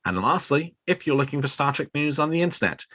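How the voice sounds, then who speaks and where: A man's voice: on the high side, very animated, fast, fairly bright tone, no room sound, studio quality. A man hosting a fan news podcast, talking at length in wordy, discursive sentences. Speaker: podcast host.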